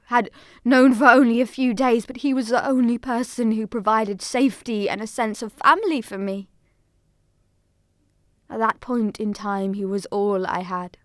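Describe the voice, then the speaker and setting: A woman narrates an audio drama in the first person. Her voice is on the high side, and her scripted delivery is solemn and measured. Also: dry recording, studio quality.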